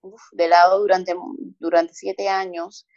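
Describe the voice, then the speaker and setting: A woman's voice: lowish voice, unhurried, no echo. Colombian Caribbean woman, dry lo-fi sound. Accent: Colombian Caribbean coast. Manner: expressive, repeats words for emphasis. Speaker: guest, holistic psychologist. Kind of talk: relaxed conversational storytelling.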